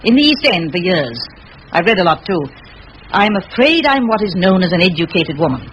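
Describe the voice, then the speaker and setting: An actress playing a determined, bossy middle-aged spinster schoolteacher in an old radio play, forthright and given to rhetoric. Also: female voice, fairly low pitch, sing-song, moderate pace, a little hiss or hum, low-quality recording. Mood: blunt, candid.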